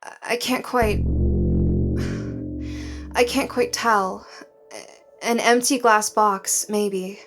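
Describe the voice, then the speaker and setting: An actress playing a frightened, awed woman explorer in an audio drama, describing her surroundings in hushed, halting phrases. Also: medium pitch, fairly even intonation, over loud music. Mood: awed, cautious. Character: frightened woman explorer guided over radio, awed and anxious.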